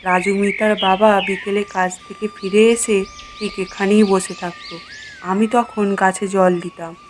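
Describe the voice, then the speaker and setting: A woman's voice: mid-range voice, fairly even intonation, a little slow, noisy background, lightly acted. A woman voicing a sorrowful, lonely elderly village widow in a cartoon story, speaking in a plaintive, reflective way. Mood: wistful, sorrowful. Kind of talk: dramatic cartoon story dialogue. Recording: music behind the voice.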